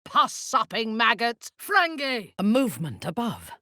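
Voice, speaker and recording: A woman voicing a ruthless, haughty warrior zealot for a video game, speaking in an imperious, measured, menacing way. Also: fairly high pitch, huge pitch swings, bright, forceful tone, dry recording, clean studio sound, full character voice. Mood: fierce battle cries. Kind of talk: video game character voice lines.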